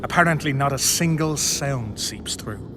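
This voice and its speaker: A man playing a gruff, suspicious guard on duty in a video game. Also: mid-range voice, expressive, moderate pace, background noise, natural voice. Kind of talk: video game cutscene acting.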